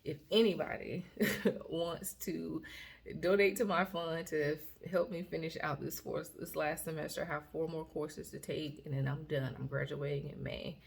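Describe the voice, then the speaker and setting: A woman vlogger chatting casually to her viewers, rambling with fillers and restarts, her voice a little hoarse. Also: low voice, level tone, moderate pace. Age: adult student. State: slightly hoarse. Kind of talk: casual personal vlog update.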